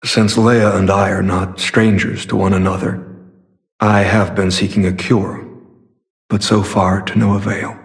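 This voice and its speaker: Grave game warrior, creaky low-leaning voice, solemn, formal, weary, courteous. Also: male voice, flat delivery, measured pace. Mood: grave, thoughtful. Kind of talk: dramatic video game voice acting.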